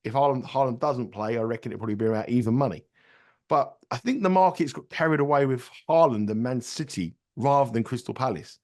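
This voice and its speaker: A man's voice: medium pitch, fairly quick, dark tone. A man with a head cold, giving football betting tips in rambling podcast chat. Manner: rambling, repeats words and restarts sentences. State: congested with a cold. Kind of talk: casual betting analysis chat.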